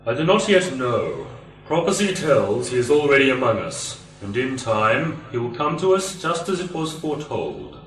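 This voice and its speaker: A man's voice: medium pitch, very animated, moderate pace, fairly bright tone. A man playing a solemn, portentous cult prophet in an amateur radio play. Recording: echoey, distant recording.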